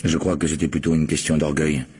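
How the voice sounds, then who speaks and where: A man playing a proud, modest physicist, an estranged husband answering questions in a measured, hesitant way in a French radio crime drama. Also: rumbling low, flat delivery, darkish tone, husky, heavily acted. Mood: wounded pride.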